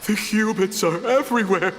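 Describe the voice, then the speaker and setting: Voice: medium pitch, normal speed, a little hiss or hum, close to an everyday voice, poor audio. An actor voicing a small, frightened and indignant tanuki yokai in a video game. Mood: panicked.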